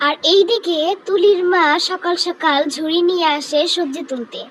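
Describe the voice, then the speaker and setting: A woman's voice: very high-pitched, normal speed, a bit bright. A woman reading scripted narration for a children's cartoon story.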